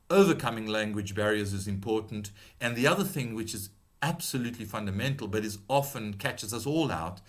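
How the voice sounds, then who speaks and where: A middle-aged British man with a slight South African tinge to his accent, presenting a webinar in a measured, advisory way with frequent ums and restarts. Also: mid-range voice. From Britain. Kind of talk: explanatory webinar talk.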